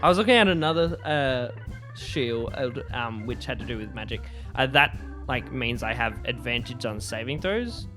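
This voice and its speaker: Casting: male game player, medium pitch, casual slangy talk, music clearly audible behind him. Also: lively intonation, normal speed, fairly bright tone. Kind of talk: casual chat.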